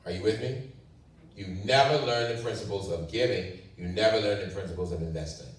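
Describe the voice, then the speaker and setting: A man's voice: fairly low pitch, fairly quick, distant mic. A male pastor talking casually with his congregation, repeating questions to keep them engaged. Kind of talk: sermon talk with the congregation. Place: church hall. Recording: echoey church hall, mic from afar.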